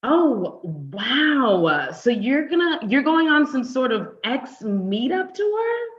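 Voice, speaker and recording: Wry, animated ex-girlfriend, played by a woman, lo-fi sound. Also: high voice, slow pace, full character voice. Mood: sarcastic, hurt. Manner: pointed, sarcastic. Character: wry, confrontational, wounded ex-girlfriend. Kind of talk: conversational table read acting. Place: online live stream table read.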